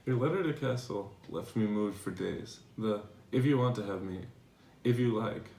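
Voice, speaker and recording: A man playing a devoted philosopher reading his tender love letters aloud in a measured, earnest dramatization. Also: on the low side, creaky, darkish tone. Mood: moved, solemn. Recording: echoey room, distant mic, faint noise.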